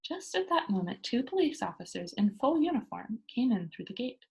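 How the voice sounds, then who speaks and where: A woman's voice: on the high side, big pitch swings, brisk, vocal fry, dark tone, husky. A woman reading a children's book aloud as a storyteller, talking to young listeners as she goes. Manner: engaging listeners with simple questions.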